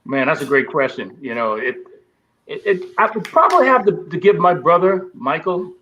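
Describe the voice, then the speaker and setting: A middle-aged man tells stories and acts out the voices of the people in them. His voice sits slightly high. The sound is a little dull and muffled. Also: normal speed, slight background noise. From Bahamas. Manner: animated storyteller acting out quoted voices. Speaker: guest, former basketball player. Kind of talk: storytelling interview.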